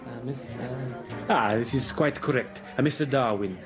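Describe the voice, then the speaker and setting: An actor playing a deferential, hesitant hotel head waiter in a radio drama. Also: male voice, medium pitch, normal speed, over music, lightly acted. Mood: obsequious. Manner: obsequious, hesitant.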